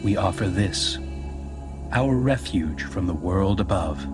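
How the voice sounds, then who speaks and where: A man's voice: deep voice, animated, takes it slowly. A man playing a solemn, courteous bunker enclave welcomer in an audio drama, grand and inviting. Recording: music behind the voice.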